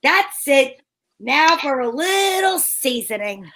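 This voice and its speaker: High-voiced sassy lady detective, acted by a woman. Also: very animated, takes it slowly, pushed and bright, exaggerated voice. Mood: sly, bossy. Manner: wisecracking, coy, teasing. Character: sassy, flirtatious female private detective narrating her case. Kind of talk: old-time radio detective parody.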